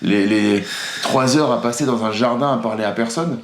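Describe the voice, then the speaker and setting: A man of medium pitch hosts a podcast and asks long, hesitant questions full of restarts. The room around him is echoey. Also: normal speed. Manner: rambling, hesitant, stammers often. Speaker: podcast host. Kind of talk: casual podcast interview. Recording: roomy echo.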